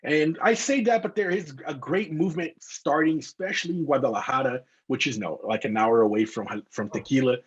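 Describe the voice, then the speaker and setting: A young man from Brazil speaks English. His voice is husky and the sound is a little dull and muffled. He talks eagerly with many fillers. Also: fairly high pitch, fairly quick, few pauses. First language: Portuguese. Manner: enthusiastic, filler-heavy with "like" and "you know". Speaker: bartender and tequila expert. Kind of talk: casual interview talk.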